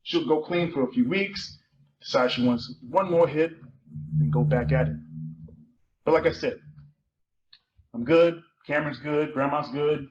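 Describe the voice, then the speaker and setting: A man's voice: a little high, unhurried, long pauses, a little mellow, dull audio, lightly acted. A man performing a cool, teasing teenage boy with casual, slangy speech in a dramatic reading of a novel. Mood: guarded. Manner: slangy, casual, dropped verbs.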